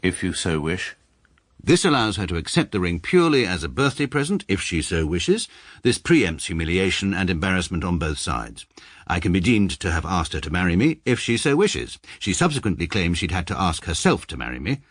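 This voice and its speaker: A stiff, pedantic English barrister, narrating his life in a dry, clipped, deadpan way. The voice is very low and a little husky, yet the pitch swings widely as he lays out his logic. It sounds close and dry, like a studio radio play. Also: fairly quick, no room sound. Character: stiff pedantic male barrister, coolly precise. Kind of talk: radio comedy drama.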